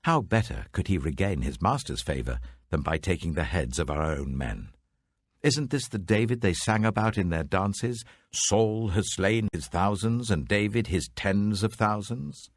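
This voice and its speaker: Man as ancient army commander, angry, suspicious, commanding, husky, animated intonation, dry audiobook recording. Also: low-pitched, measured pace, no room sound, voice pushed up. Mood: angry, scornful. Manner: forceful, commanding.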